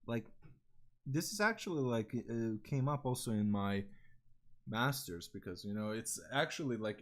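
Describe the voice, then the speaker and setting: Serbian guy streaming, casual rambly chat, swears a lot, lowish voice. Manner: blunt and profane, with lots of fillers. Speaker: vtuber streamer.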